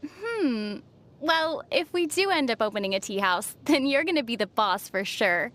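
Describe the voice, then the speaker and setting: A woman playing a gentle, thoughtful young female traveler in video game dialogue, speaking in a measured, soft-spoken way. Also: on the high side, animated. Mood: gently teasing. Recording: faint music under the voice. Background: faint background music.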